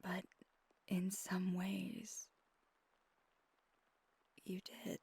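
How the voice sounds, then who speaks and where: A woman playing a wistful, tender vampire girlfriend in an intimate ASMR roleplay, confiding in a soft, halting, breathy half-whisper close to the mic. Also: fairly low pitch, completely flat delivery, exaggerated voice. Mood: tender, reflective. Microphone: close microphone.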